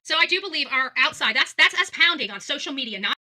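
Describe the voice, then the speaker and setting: A woman's voice: high voice, animated, quick pace, bright, forceful tone, faint music behind. A woman giving unscripted, blunt and accusatory opinion commentary as a vlog host.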